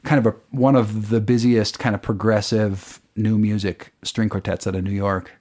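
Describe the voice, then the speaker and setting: A man's voice: fairly low pitch, little pitch movement, normal speed, a little mellow. A man, a songwriter guest, talking casually in an interview and explaining at length with lots of fillers. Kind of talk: casual radio interview chat.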